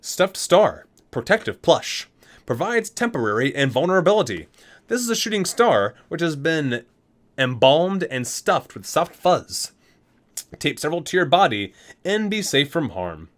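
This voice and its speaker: A man's voice: a little high, very animated, unhurried. A man reading game item descriptions aloud in an ASMR style, breaking off for casual, geeky asides. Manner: geeky, chatty asides between read-out entries.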